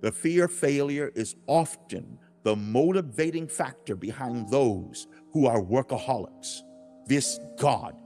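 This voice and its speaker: A man's voice: mid-range voice, a little mellow. Solemn man narrating as God over music. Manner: solemn, emphatic, preachy, formal wording. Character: God speaking as a loving, grieved, solemn father. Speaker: audiobook narrator. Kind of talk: dramatized scripted religious narration. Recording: music bed under the voice.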